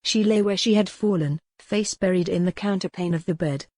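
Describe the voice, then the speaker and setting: A woman's voice: on the low side, a little fast, dark tone, dry recording. A woman reading dramatic fiction as an audiobook narrator, in a scripted storytelling style.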